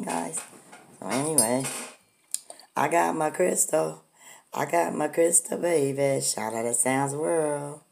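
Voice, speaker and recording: A middle-aged woman with a hoarse voice from a cold, chatting to her viewers while eating breakfast in her home kitchen. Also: very deep voice, a little mellow, slight background noise. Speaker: food vlogger. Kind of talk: casual eating vlog chat.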